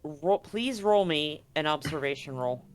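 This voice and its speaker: A man narrating a tabletop game as game master, improvising haltingly and repeating words as he goes. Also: a little high, lively intonation.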